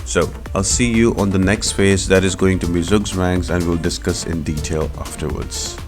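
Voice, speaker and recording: A man with a low-pitched voice hosts a gaming walkthrough. He explains things step by step in an instructional way, with faint music playing behind him. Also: fairly even intonation, moderate pace. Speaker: gaming video host. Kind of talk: walkthrough commentary.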